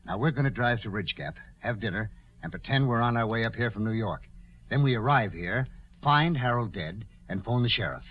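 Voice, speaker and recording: A man's voice: on the low side, animated, brisk. A man playing a cold, scheming gambler with clipped, calculating delivery in a 1940s radio drama. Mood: brisk, calculating. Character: cold, scheming male gambler plotting a murder cover-up. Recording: dull vintage 1940s radio recording.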